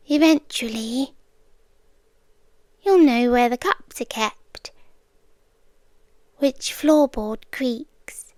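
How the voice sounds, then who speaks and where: A British woman playing an obsessive, gently menacing girlfriend in an intimate ASMR roleplay, speaking in a hushed, deliberate way that often slips into whispers, close to the microphone. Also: high-pitched, dry recording. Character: soft-spoken obsessive yandere girlfriend, gently menacing captor. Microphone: close microphone, dry.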